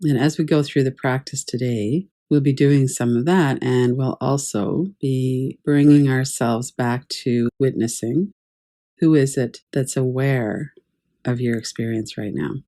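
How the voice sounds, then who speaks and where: A woman teaching guided meditation in a calm, measured, gently instructive way. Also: rumbling low. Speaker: yoga nidra meditation teacher.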